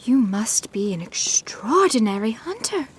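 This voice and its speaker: A sweet young storybook bride with a high voice. She is polite, formal and hopeful, gently eager to please. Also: sing-song, unhurried, husky, exaggerated voice.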